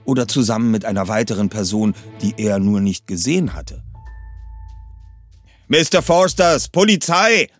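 Lively low-voiced man reading a novel aloud. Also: normal speed, faint music behind. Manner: animated, shifts voice for characters. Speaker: reader of a novel. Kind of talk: expressive book reading.

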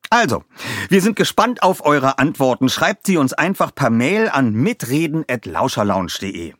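A man's voice: mid-range voice, sing-song, moderate pace, dry recording. A man presenting and narrating in a scripted style as a podcast host and reader.

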